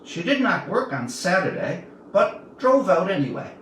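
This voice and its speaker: A man of about seventy from Newfoundland, Canada, reading his own tale aloud as a storyteller, with deliberate, dramatic pauses. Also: sits high, very animated, a bit bright. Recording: roomy, distant mic, faint background noise.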